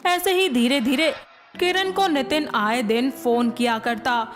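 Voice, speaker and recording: A woman's voice: high-pitched, a little slow. A woman narrating a moral tale as scripted storytelling.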